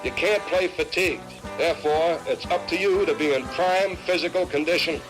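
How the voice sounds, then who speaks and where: A man's voice: fairly high pitch, moderate pace, poor audio. A man giving a stern, emphatic motivational speech as a coach. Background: music.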